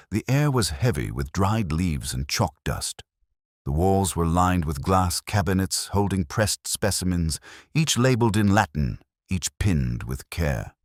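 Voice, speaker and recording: Male actor as sceptical, brooding detective narrator for a radio mystery; very deep, reflective, literary, dry close sound. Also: no echo, heavily acted. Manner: measured, ruminative, literary turns of phrase. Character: melancholy, sceptical English male police inspector narrating his case. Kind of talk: first-person scripted audio drama narration.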